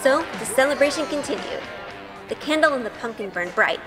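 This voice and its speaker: A woman playing an earnest, sweet young witch heroine who narrates an audio drama in a gentle, measured way. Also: sits high, lively intonation, big-room sound, loud music behind the voice. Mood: reflective.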